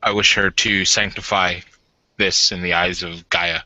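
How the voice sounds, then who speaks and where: A man playing a gruff, sardonic werewolf warrior bridegroom in a tabletop game, with a dry, teasing, grumbling manner. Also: low-pitched, level tone, unhurried, bright, forceful tone, no room sound, exaggerated voice, low-quality recording. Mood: solemn, grumbling.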